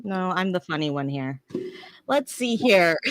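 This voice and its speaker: A woman's voice: on the low side, animated, slow pace. Bubbly giggly woman on a podcast. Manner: bubbly, giggly, says "like" often. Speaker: podcast co-host. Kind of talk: casual live chat.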